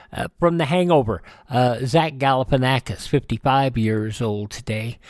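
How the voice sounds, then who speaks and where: A man's voice: mid-range voice, dry recording, studio quality. A man hosting a radio program in a casual, chatty style, rambling a little and correcting himself as he goes. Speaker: radio show host. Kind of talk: casual radio host chat reading celebrity birthdays and introducing old radio shows.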